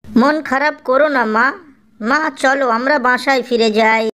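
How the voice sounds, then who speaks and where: Woman doing an obedient farm boy in a cartoon, gentle, agreeable, devoted to his mother. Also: sits high, fairly even intonation, normal speed, clean tone. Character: dutiful, obedient farmer son, gentle. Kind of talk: Bengali cartoon story acting.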